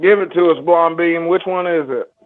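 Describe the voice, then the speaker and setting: High-voiced man on a tinny line. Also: moderate pace. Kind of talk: casual group chat.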